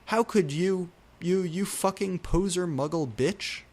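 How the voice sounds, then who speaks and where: A man acts out a teenage goth boy from a story in a raised, shrill pitch. He is touchy, hot-tempered and indignant, flinging accusations with great melodrama. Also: unhurried, a little mellow. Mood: hurt, indignant.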